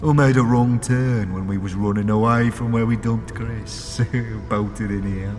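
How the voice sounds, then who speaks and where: A man voicing an amiable, mangled undead scavenger with rough rustic speech in a fantasy audio drama. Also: lowish voice, steady tone, measured pace, natural voice. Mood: rueful. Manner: colloquial, rustic grammar and slang. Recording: long cavernous reverb, music behind.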